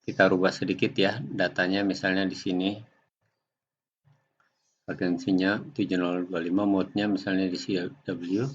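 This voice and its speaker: A man giving an unscripted software tutorial, explaining step by step in a halting way. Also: sits low, little pitch movement, a little hiss or hum. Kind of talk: unscripted screen-recording tutorial. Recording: dull lo-fi home recording.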